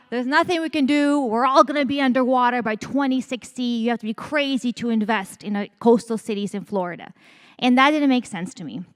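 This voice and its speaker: A woman from Ukraine, a company founder, giving a lively conference pitch talk on stage. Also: clean tone. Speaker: startup founder and CEO.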